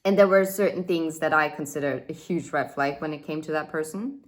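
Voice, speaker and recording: A woman's voice: low voice, a little fast. A woman in her thirties giving a casual tarot reading, chatty and thinking aloud with fillers and asides. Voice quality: says she has a loud voice. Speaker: tarot reader.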